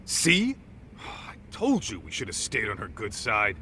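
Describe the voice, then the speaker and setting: An actor playing a brash, cheeky detective's sidekick in a video game, with a blunt, informal delivery. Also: male voice, on the low side, huge pitch swings, measured pace, sharp tone, slight background noise, heavily acted. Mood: cheeky, eager. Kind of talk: video game cutscene acting, English dub.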